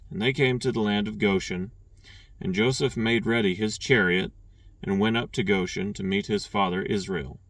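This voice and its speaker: A man reading scripture aloud, stumbling and restarting on names and clearing his throat now and then. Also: fairly low pitch, no room sound, far from the mic. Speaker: scripture reader.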